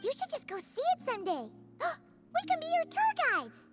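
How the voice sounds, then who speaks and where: A woman voicing a tiny, chirpy, childlike fairy companion in a video game, excitable and nosy, with a squeaky voice. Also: brisk, heavily acted. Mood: cheerful. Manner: refers to self in third person, exclamatory. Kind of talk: animated video game voice acting. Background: music.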